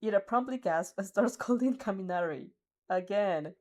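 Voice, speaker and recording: A woman's voice: mid-range voice, expressive, moderate pace, a little mellow. A woman reading fan fiction aloud as an amateur narrator, giggly, stumbling over words and adding her own asides.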